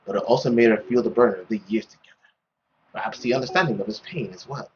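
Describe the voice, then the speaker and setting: A man's voice: mid-range voice, quick pace, darkish tone, muffled recording, a little hiss or hum, pitched below the performer's own voice, close to an everyday voice. A man reading as a solemn storyteller, narrating a visual novel in a dramatic scripted way.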